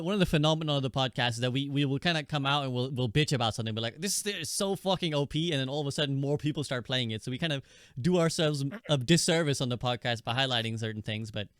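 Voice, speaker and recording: A man's voice: a little high, fairly bright tone, no echo, studio quality. A thirty-year-old man hosting a podcast, moderating a casual chat among guests. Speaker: podcast host.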